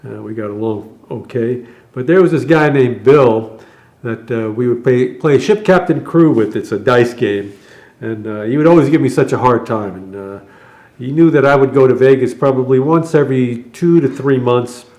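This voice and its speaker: An older man with a mid-pitched voice chats as a podcast co-host. He tells stories from his working life in a casual, conversational way, wandering through small details as he goes. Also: normal speed, a little mellow. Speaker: retired air traffic controller, podcast co-host. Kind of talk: casual chat and anecdote.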